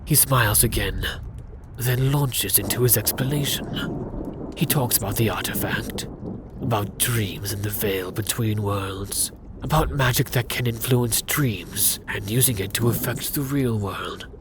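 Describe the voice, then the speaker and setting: A man narrating a scripted second-person fantasy story for ASMR in a hushed, intimate, dramatic way. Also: on the low side, measured pace, background noise.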